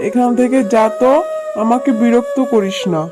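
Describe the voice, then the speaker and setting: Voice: medium pitch, fairly even intonation, moderate pace, lightly acted. A performer voicing a stingy, dismissive tiger uncle who sells fruit in a cartoon, with a curt, scornful manner. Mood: dismissive, annoyed. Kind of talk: children's cartoon voice acting. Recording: faint music behind the voice.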